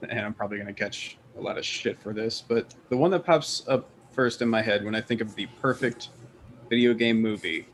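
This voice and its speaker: A man's voice: lowish voice, brisk, a little hiss or hum. A man chatting casually as a panelist on a podcast. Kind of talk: casual chat.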